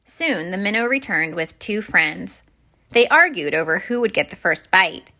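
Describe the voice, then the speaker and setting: A woman reading a children's picture book aloud as expressive story-time narration. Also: on the low side, moderate pace.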